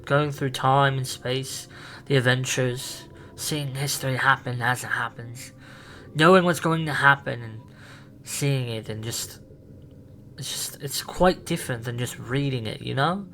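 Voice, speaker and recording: A man playing an eccentric, excitable time traveler who rambles with enthusiasm in a fan roleplay. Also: mid-range voice, soft background music. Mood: excited. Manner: enthusiastic, rambling, quick bursts.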